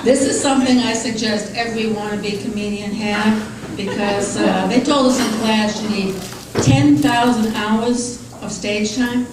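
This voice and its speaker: An older woman from Boston with a Boston accent doing a stand-up comedy set, unhurried and deadpan, with many "uh" fillers. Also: on the high side. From Boston, USA. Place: large comedy club with live audience. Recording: echoey club recorded from far off. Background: crowd laughter and room noise.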